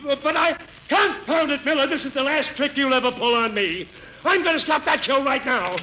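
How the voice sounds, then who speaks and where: A man playing a pompous, excitable, indignant hotel manager in a 1940s radio comedy, with theatrical delivery. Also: very high-pitched, a little fast. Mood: outraged. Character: blustering, excitable, outraged male hotel manager. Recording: scratchy muffled 1940s radio broadcast.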